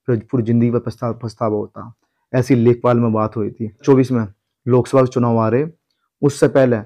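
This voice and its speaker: North indian guy, exam coach talking straight to students, warm dark-ish voice, mid pitch, repeats his advice. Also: dull audio. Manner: instructive, repeats advice, addresses students directly. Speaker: exam coaching teacher, former police employee. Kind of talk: informal exam guidance lesson.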